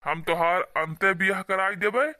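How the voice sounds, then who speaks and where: Cartoon village brother , acted by a man: Awadhi accent, high-pitched, extremely sharp, scolding and protective, very dry sound. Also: fairly even intonation, moderate pace, no room sound. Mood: indignant, urging. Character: protective, indignant younger brother from a poor village. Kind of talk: cartoon dialogue.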